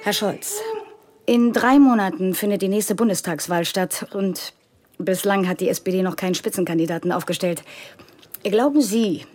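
A woman playing a polite, probing election-special presenter with a formal, measured delivery in a satirical radio play. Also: on the low side, a little mellow, natural voice.

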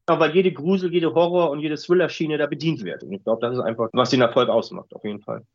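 A man's voice: fairly high pitch, fast, dry recording. A middle-aged man answering interview questions casually as an author, rambling and repeating his words. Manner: rambling, repeats words, often tags sentences with "ne". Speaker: interviewed audio drama author. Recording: muffled lo-fi audio.

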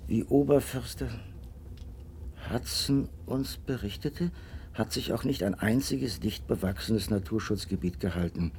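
An actor playing an earnest, puzzled companion to a detective in a radio drama, questioning and deliberate. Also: male voice, fairly low pitch, a little mellow, slight background noise. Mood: puzzled, curious.

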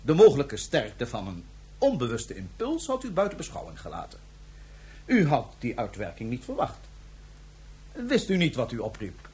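A man's voice: medium pitch, wildly swooping pitch, normal speed, long pauses, darkish tone, husky, heavily acted. An actor playing a suave, mocking imperial ruler in a Dutch science fiction radio drama, theatrical and condescending in his ornate phrasing. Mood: taunting.

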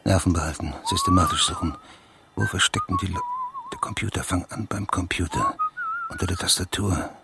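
Radio drama: furtive middle-aged Frenchman, low gravelly voice, wildly swinging intonation, terse muttering. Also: wildly swooping pitch, a little fast, over loud music, exaggerated voice. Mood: furtive, tense. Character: sly French man, a nervy snoop searching furtively. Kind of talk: radio play dialogue with muttered, hurried asides.

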